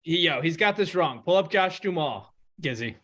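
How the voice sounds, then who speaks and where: Male podcast co-host, bright and sharp, on the higher side, joking casually with friends. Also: animated, a little fast. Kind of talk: casual banter among friends.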